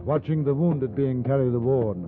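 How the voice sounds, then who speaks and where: An actor with a British accent playing a courteous, measured gentleman newspaper correspondent in a 1950s radio drama. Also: medium pitch, close to an everyday voice. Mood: sombre narration. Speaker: lead actor and narrator. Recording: muffled 1950s radio recording, music behind.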